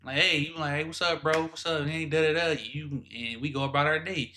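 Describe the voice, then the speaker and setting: Bright, incredulous man reacting casually, noisy recording. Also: a little high, fairly even intonation, fairly quick. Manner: incredulous, slangy, repetitive. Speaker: reaction video host.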